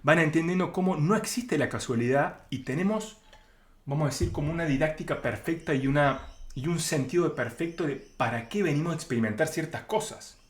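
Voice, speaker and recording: A man's voice: on the high side, brisk. A man with an Argentine accent giving an informal explanatory talk as a spiritual teacher, in a conversational way with acted-out first-person examples. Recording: roomy, echoing sound.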